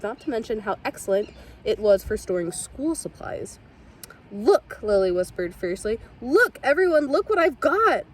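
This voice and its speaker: A woman reading a children's picture book aloud with expressive storytelling. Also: mid-range voice. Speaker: storybook reader.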